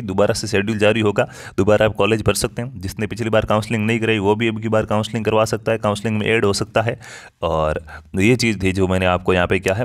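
A man speaks in a fairly low voice. He presents news as a teacher would, reading a bit and then explaining it conversationally. He talks straight to his viewers and repeats key points for emphasis. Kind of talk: informal explainer, reading and commenting on exam admission news.

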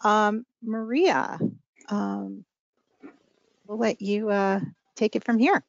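A woman's voice: mid-range voice, fairly even intonation, slow, gravelly, no room sound. A woman moderating a panel, thanking a speaker with many fillers. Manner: appreciative, filler-heavy. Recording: dry, dull call audio.

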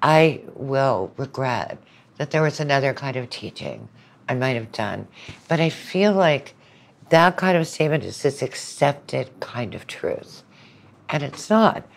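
An older woman with a strikingly deep voice speaks in a conversational interview. She is earnest and reflective, stating her opinions with emphasis. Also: animated, measured pace, gravelly. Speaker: podcast guest, university lecturer.

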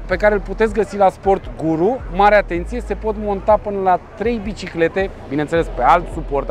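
Lively high-voiced man hosting outdoors. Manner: lively, joking, talks with his hands. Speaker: car show host. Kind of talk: casual on-location presenting. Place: outdoor rooftop car meet.

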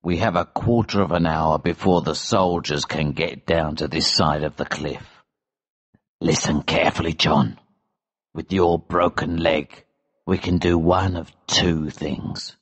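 Man playing a deep, slow, grim old smuggler. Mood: grave, steady. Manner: calm, cold, deliberate. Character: grim, vengeful older smuggler, fatherly to a boy. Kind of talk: acted storybook dialogue.

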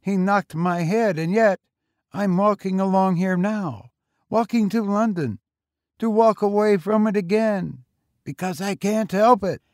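A man acts out a frail, undersized homeless youth of eighteen in a narrated story. The voice is pitched well up and husky. He talks in a knowing, insistent, colloquial way, weary beyond his years. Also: measured pace, no echo, studio quality. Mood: insistent, fatalistic.

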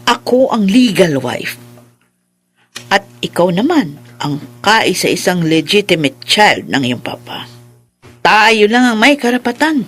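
Proud fiery widow, bright forceful voice, animated swings, Filipino radio drama, music behind. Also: female voice, mid-range voice, slow, exaggerated voice. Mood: composed, entitled. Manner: dramatic, indignant, emphatic. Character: proud, entitled widow and mother, fiery-tempered.

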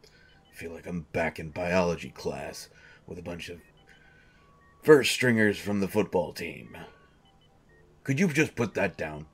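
A man's voice: low voice, animated, measured pace, pauses often, slightly rough, faint music behind, full character voice. A man playing a grumpy, irritable coach who grumbles and complains defensively in an audio drama. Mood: irritated. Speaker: voice actor.

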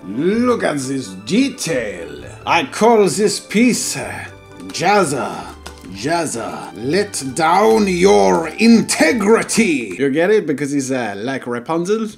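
A melodramatic French artist with an exaggerated fake French accent. The voice sits fairly high and swings widely in pitch as he grandly narrates a video game in pompous, self-mocking character. Music plays behind him. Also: big pitch swings, takes it slowly, creaky, heavily acted. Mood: proud, theatrical. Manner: theatrical, dramatic, self-mocking. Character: pompous, melodramatic, wine-loving French male artist stuck in tower. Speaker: gaming video host.

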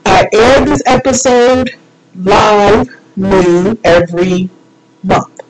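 A woman's voice: medium pitch, slow, poor audio. A woman hosting an informal live show, chatting in a playful, rambling, self-deprecating way. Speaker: radio show host.

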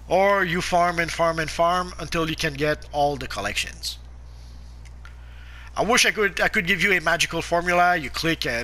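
Casting: man, sharp bright tone, sniffly sore throat, halting game-guide chatter with lots of "uh". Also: a little high. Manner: halting, frequent "uh" fillers and restarts. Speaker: gameplay guide narrator. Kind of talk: casual game commentary.

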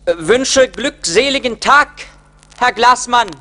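An actor playing a naive, eager young peasant lad in a radio play, earnest and imploring. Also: male voice, extremely high pitch, lively intonation, slow, sharp tone, heavily acted. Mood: pleading. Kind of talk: 1980s German radio play acting. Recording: vintage LP radio play, audible noise.

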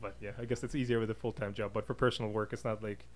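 A man's voice: fairly low pitch, little pitch movement, very fast. A man hosting a podcast interview in a casual, conversational style. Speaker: podcast host. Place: podcast booth inside a diner. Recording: clear background ambient noise.

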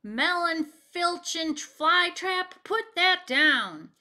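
A woman's voice: very high-pitched, animated, extremely slow, sharp tone, pitched above the performer's own voice, exaggerated voice. A woman voicing an angry old male gardener scolding emphatically in a children's storybook.

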